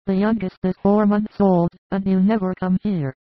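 A woman's voice: mid-range voice, level tone, moderate pace, no echo, lightly acted. A woman playing a humble, overworked village mother in a scripted audiobook drama, earnest and deferential. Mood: earnest, weary. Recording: dry, narrow phone-like sound.

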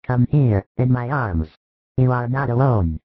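A man voicing a calm, tender spiritual master who speaks in a solemn, intoning way. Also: mid-range voice, unhurried, dry recording, natural voice. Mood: consoling. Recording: thin phone-like dry recording.

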